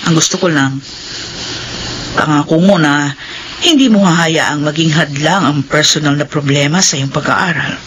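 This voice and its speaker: A woman's voice: sits low, moderate pace, fairly bright tone. Firm, encouraging lady boss, noisy recording. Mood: concerned. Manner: firm, authoritative. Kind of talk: radio drama acting.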